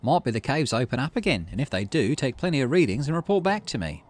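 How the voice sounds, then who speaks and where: A man's voice: medium pitch, big pitch swings, fast, voice pushed up. A man voicing a practical, encouraging female expedition leader in a sci-fi game, with folksy instructions. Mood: businesslike.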